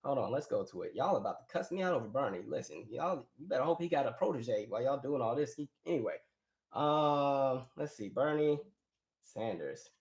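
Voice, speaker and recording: A man's voice: mid-range voice, animated, a little fast. An American man hosting a casual live chat, opinionated and colloquial, thinking aloud as he goes. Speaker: live stream host. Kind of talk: casual live chat, reading and answering viewer comments. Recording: echoey room on a live stream.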